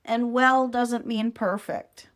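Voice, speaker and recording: A woman hosting a podcast, giving a reflective personal monologue in short, deliberate, measured phrases. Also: fairly high pitch, steady tone, a bit bright. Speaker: podcast host.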